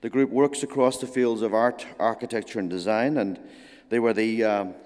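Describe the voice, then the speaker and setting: A man giving a formal, measured introduction as a forum moderator, pausing often with uh. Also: on the low side, fairly even intonation, a little mellow. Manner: measured, halting with frequent uh pauses. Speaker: event moderator introducing speakers. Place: lecture hall at a forum. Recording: long hall reverb.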